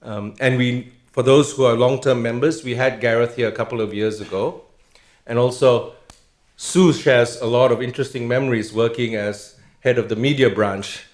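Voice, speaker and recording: A man's voice: medium pitch, fairly even intonation, normal speed. A middle-aged man giving a formal speech of introduction as a host, telling anecdotes along the way. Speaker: host introducing a guest lecturer. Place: indoor public lecture event. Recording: roomy, echoing event venue sound.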